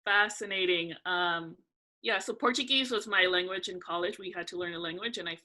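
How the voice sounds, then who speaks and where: Young woman guest from California, bright tone, lowish pitch, unhurried and thoughtful. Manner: relaxed, thoughtful, frequent "um" pauses. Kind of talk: casual interview conversation.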